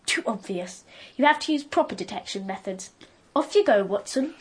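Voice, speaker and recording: A woman's voice: medium pitch, lively intonation, normal speed, husky. A woman playing a precocious, bossy young girl in a radio drama, blunt, smug and taunting. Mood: bossy, knowing.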